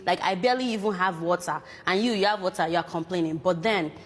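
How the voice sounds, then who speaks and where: A woman's voice: fairly low pitch, fast talker. Earnest African woman in a reverberant room. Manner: earnest, reflective, speaks in quoted asides. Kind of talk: casual reaction video.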